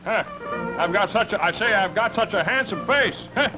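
A man's voice: high voice, normal speed, natural voice. A man doing a blustering vain cartoon cousin. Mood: complaining. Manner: blustering, keeps repeating "I say", stammering complaints. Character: fussy vain male cartoon cousin with a bow tie. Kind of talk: 1950s children's television cartoon voice. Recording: dull lo-fi old TV audio with music.

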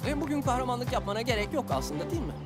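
Small cartoon cat sidekick: high voice, excitable and fussy, expressive, music underneath. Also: female voice, racing. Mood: urging. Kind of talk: animated children's series dub. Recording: music behind.

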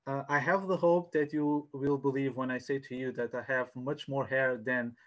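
A man, giving an informal online presentation as a sales coach in a hesitant, halting way, repeating words, on a headset. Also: mid-range voice, fairly even intonation, highs cut off. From Portugal. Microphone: headset on a video call.

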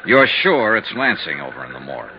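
A man's voice: extremely low pitch, very animated, fairly quick, vocal fry, slight background noise, full character voice. An American actor playing a cool, businesslike insurance investigator who narrates his case in clipped 1950s radio style. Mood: probing, cool. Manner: clipped, matter-of-fact delivery. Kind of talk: 1950s radio detective acting. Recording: muffled 1950s radio recording with music.

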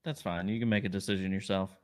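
A man's voice: low voice, fairly even intonation, quick pace. A man chatting casually in improvised role-play as a police officer in an online game.

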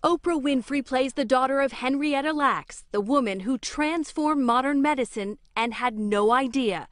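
A woman's voice: high voice, normal speed, no echo, clean studio sound. A woman reading scripted promotional narration for a film. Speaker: trailer narrator.

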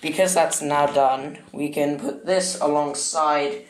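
A man with a slightly croaky voice, rambling hesitantly with many fillers as he narrates a casual craft vlog at his work table. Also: on the high side, fairly even intonation, slow, a little hiss or hum. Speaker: stop-motion hobbyist vlogger. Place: indoor room at a work table. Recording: echoey room with music playing behind.

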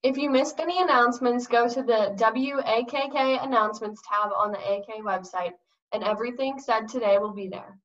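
Student announcer, woman, higher voice, lo-fi sound. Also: a little fast, a bit bright. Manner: reading a script.

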